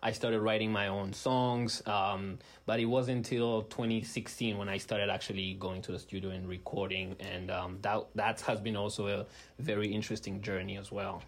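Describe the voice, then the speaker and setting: A young man from the Democratic Republic of the Congo, a rapper, answering interview questions in a relaxed way with many hesitations. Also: fairly low pitch, normal speed. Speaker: guest, rapper.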